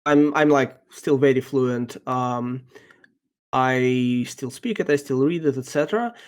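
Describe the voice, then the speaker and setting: A man whose first language is Russian, answering interview questions in a thoughtful, halting way with many ums and restarts. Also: mid-range voice, a little mellow. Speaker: podcast guest, software engineer.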